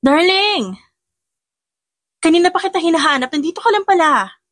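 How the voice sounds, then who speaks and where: A woman voicing a bubbly, affectionate young wife who speaks loudly and gushingly in an audiobook. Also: extremely high pitch, lively intonation, normal speed, no echo, voice pushed up.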